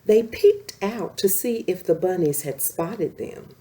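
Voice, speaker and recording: A woman reading a bedtime story expressively, as a storyteller speaking to young children. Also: fairly low pitch. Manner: animated, slow-paced reading addressed to young children.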